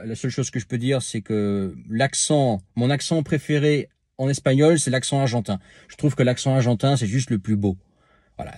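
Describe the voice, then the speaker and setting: A man's voice: mid-range voice, warm tone, dry recording, clean studio sound. A man talking casually to camera as a vlogger, answering a viewer's comment with many filler sounds and restarts, speaking to the viewer informally.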